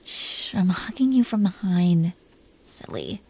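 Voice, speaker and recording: A woman playing a possessive, protective dragon queen in an intimate roleplay audio drama, teasing and coaxing the listener in soft tones that can drop toward a whisper. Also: fairly low pitch, wildly swooping pitch, slow pace, breathy edge, exaggerated voice, poor audio.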